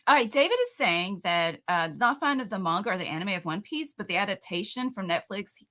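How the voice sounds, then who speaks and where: Woman, bubbly co-host, giggly, bright voice, lively pitch, casual stream chat. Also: a little fast. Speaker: co-host of a comics talk show.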